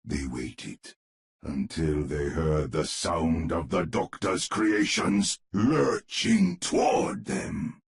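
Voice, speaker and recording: A grave gothic storyteller with a very deep, creaky voice. He narrates a horror tale for a game with measured, theatrical menace, his pitch swooping dramatically through each phrase. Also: animated, exaggerated voice. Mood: ominous, dramatic.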